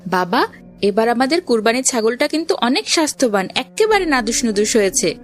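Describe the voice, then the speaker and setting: A woman's voice: a little high, very animated, brisk, faint music behind. A woman playing a curious, stubborn young boy in a children's cartoon, with an earnest, childlike manner. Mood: eager curiosity.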